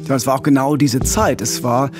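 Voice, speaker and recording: A middle-aged man co-hosting a true-crime podcast, speaking gravely and in a measured way into a close studio mic. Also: medium pitch, steady tone, over music. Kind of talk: podcast storytelling. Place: small radio studio. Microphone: close studio mic with pop filter.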